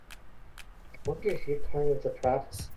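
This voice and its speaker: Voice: mid-range voice, steady tone, normal speed, warm tone, slight background noise, lightly acted, rough recording. A performer voicing an earnest, duty-bound princess in a fantasy game story, stumbling hesitantly over the lines.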